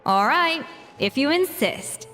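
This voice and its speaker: A woman's voice: high voice, lively intonation, takes it slowly, sharp tone, big-room sound, faint music behind, exaggerated voice. A woman playing a proud, exacting young master chef in a video game, speaking with precise self-assurance. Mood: confident.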